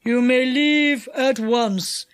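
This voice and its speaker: Woman acting a loud, blustering storybook enchanter. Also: clear, resonant tone. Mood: cowed. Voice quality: loud, booming. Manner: commanding, drawn-out words. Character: bullying, blustering male enchanter, loud and gloating.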